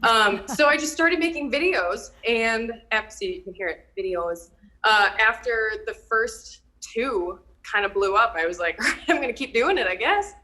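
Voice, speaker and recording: A woman's voice: fairly high pitch, a little slow, sharp tone, poor audio. A woman from Minnesota with a light Minnesotan accent, chatting casually and laughing often as a guest over a video call. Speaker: hairstylist and TikTok creator, guest. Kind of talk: casual chat.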